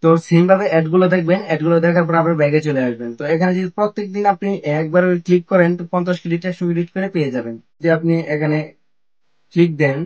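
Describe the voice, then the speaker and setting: Very fast-talking man giving a tutorial. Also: on the high side, a little mellow, dull audio. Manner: repetitive, instructional, step-by-step phrasing. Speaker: tech tutorial host. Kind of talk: step-by-step app tutorial voice-over.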